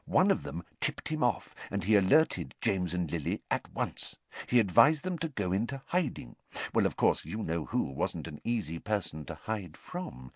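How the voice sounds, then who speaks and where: A man's voice: mid-range voice, sing-song, normal speed, no room sound, voice pushed up. A man voicing a pompous, blustering middle-aged government minister in a storybook. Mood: confiding.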